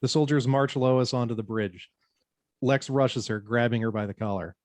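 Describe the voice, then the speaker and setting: A man's voice: medium pitch, moderate pace, a little mellow, dry recording. Man narrating script directions, dry sound. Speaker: narrator reading stage directions. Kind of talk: scripted narration at a table read.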